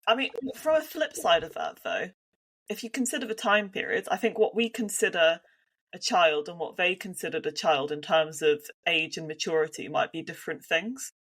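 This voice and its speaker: A woman's voice: on the low side, lively intonation, normal speed, a bit bright. Thoughtful woman with a Devon accent. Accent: Devon English. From Devon, England. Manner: thoughtful, hedging with many restarts. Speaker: podcast co-host. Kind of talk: casual conversational podcast.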